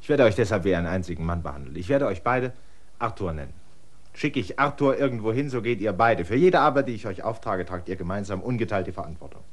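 This is a weary stranger from a classic radio play, with a lowish voice and lively, rising and falling delivery. He talks in a tired, suspicious way, then turns commanding. His orders are firm. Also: quick pace. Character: weary stranger, a land surveyor, middle-aged man. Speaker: lead actor in a radio play. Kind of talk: classic German radio drama acting.

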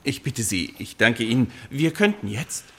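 An actor playing a polite, deferential young interviewer in a radio play, speaking in brief interjections. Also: male voice, mid-range voice, big pitch swings, normal speed, slight background noise. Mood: polite, attentive.

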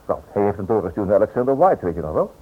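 A man's voice: fairly low pitch, big pitch swings, rapid-fire, breathy edge. An actor playing a stubborn, protective husband and father in a radio drama, urgent and dismissive. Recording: muffled old radio play recording.

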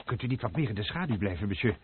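A man's voice: on the low side, lively intonation, racing, slight background noise, natural voice. Man doing a jittery servant, all fuss and worry, tinny old radio sound. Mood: anxious. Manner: anxious, deferential. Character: nervous male servant, fussy and fretful. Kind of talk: radio drama acting.

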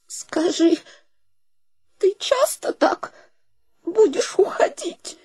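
Radio-play role: scornful domineering woman, high and very animated, theatrically nagging. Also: extremely slow, full character voice. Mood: plaintive. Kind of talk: theatrical radio drama acting.

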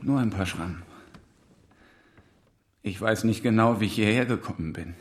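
An actor playing a dazed, dutiful wounded prisoner in a naturalistic German radio drama. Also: male voice, on the low side, moderate pace, close to an everyday voice. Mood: puzzled, groggy.

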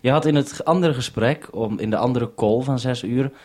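A Dutch radio producer speaking on a radio link, calm and orderly, repeating notes back before signing off. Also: male voice, mid-range voice, normal speed. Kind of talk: radio call, businesslike. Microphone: radio transceiver.

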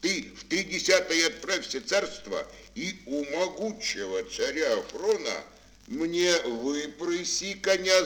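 Актёр играет строгого царя в детском аудиоспектакле, торжественно и декламационно. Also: male voice, on the high side, fairly bright tone, full character voice, low-quality recording. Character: stern tsar threatening a prince with disgrace. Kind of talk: fairy-tale audio play acting. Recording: old mono record, faint noise.

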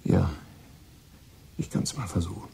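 An actor playing a troubled, guilt-ridden American boy of sixteen in a German radio drama, speaking haltingly and repeating words in agitation. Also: low-pitched, gravelly, warm tone, a little hiss or hum, full character voice. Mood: subdued, weary.

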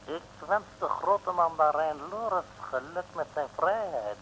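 An actor playing a sly, falsely humble Chinese crook in an old radio comedy, with a caricatured Chinese accent and flowery, broken grammar. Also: male voice, fairly high pitch, expressive, measured pace, fairly bright tone. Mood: oily, polite. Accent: mock Chinese. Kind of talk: 1950s-60s Dutch radio comedy acting. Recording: noisy old radio recording.

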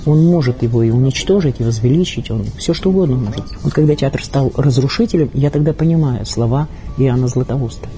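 A woman's voice: a bass voice, a little fast, hardly any pauses, warm tone. A woman, a theatre director, talking reflectively in an interview, making her points emphatically, phrase by phrase. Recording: music behind the voice.

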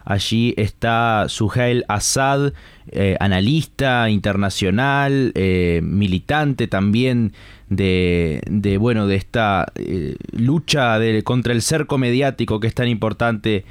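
A man with a Rioplatense Spanish accent hosting a live radio news interview, speaking haltingly as he searches for his words. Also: fairly low pitch, smooth, no room sound.

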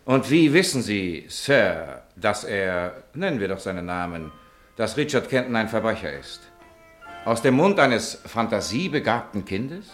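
A man's voice: fairly low pitch, big pitch swings, unhurried, faint music behind. An actor playing a humane male writer, eloquent and probing, in a 1950s German radio drama. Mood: challenging. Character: Oliver Goldsmith, humane male writer challenging superstition.

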